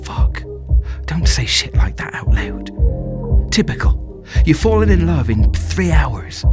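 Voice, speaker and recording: Very animated, lovestruck festival-goer over music. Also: male voice, on the high side, slow. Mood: giddy, smitten. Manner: confiding, wry, animated. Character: smitten, self-doubting, hedonistic young male festival-goer. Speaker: actor performing a monologue. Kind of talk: dramatic first-person storytelling monologue.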